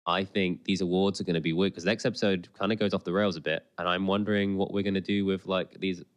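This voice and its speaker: A man's voice: low voice, level tone, quick pace, smooth. A man co-hosting a podcast with casual, colloquial banter about television, talking through a sore throat from a cold. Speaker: podcast co-host. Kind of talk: casual banter, TV episode commentary.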